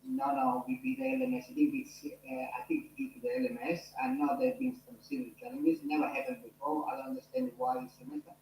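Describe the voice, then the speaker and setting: A man's voice: extremely high pitch, expressive, brisk, vocal fry, hardly any pauses, darkish tone, reverberant, slight background noise, poor audio. Italian-accented man lecturing an online class. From Italy. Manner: earnest, many fillers and restarts. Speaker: university lecturer.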